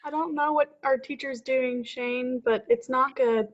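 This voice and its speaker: A woman's voice: high-pitched, fairly even intonation, a little slow, highs cut off. A woman, a student, talking in a wry, exasperated way on a video call. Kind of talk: casual complaint.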